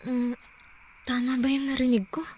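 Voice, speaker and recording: An actress playing a frightened young woman alone at night, hushed and jumpy, then turning defiant, in a radio drama. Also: sits high, flat delivery, normal speed, a little hiss or hum, lightly acted. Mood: scared, hushed.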